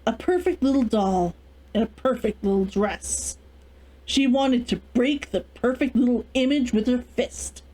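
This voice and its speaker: A woman hosting a read-along, giving an expressive narration of a story. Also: on the high side, measured pace, poor audio.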